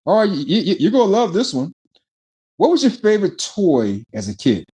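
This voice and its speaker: A man's voice: sits high, wildly swooping pitch, moderate pace, mellow, husky. A man hosting a podcast interview, enthusiastic in a casual way, often stammering and repeating his words. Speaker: podcast host.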